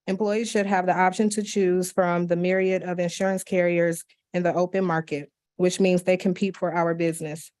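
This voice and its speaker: Woman reading public comments at a county meeting, kinda low voice, flat, steady, trips on a word now and then. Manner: steady, measured reading with small slips and restarts. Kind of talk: reading written letters aloud into the record. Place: county commission meeting room.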